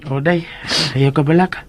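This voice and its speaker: An actor with a Filipino accent playing an excitable, fussy male household helper in a radio drama, with a husky voice. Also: a little high.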